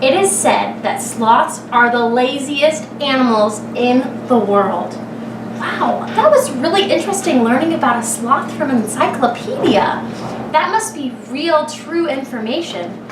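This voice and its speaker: A woman reading a picture book aloud to young children as a kindergarten teacher, expressively, with dramatic pauses and thinking aloud as she asks the listeners questions. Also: high-pitched, lively intonation. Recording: echoey room, distant mic, clear background noise.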